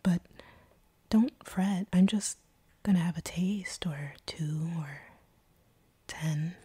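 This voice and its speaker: A woman playing a seductive, teasing, slightly sadistic vampire captor in an intimate audio roleplay, speaking in a breathy whisper close to the microphone. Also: lowish voice, mellow, dry recording, exaggerated voice. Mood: teasing, menacing. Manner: slow, teasing, predatory purr. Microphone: close microphone, dry.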